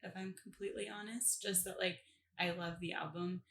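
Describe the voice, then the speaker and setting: A woman's voice: fairly low pitch, normal speed, gravelly, a little hiss or hum. A woman in her twenties, a musician guest on a podcast, talking casually and laughing easily as she tells stories. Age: 20s. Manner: laughs easily, animated when telling stories. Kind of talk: casual interview chat.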